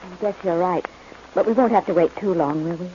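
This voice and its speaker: A woman's voice: lowish voice, brisk, lightly acted. Woman playing a scheming young-love widow, cooing, coy, affectionate, old radio melodrama, muffled faintly hissy recording. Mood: cooing, gleeful. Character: gleeful scheming new widow, cooing to her lover. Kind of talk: 1940s radio melodrama acting.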